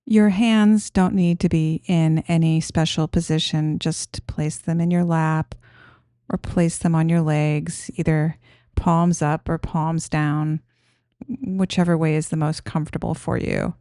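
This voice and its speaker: A woman guiding a meditation in a gentle, soothing, unhurried manner, giving simple instructions. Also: low voice, steady tone, no room sound, clean studio sound. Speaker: meditation guide.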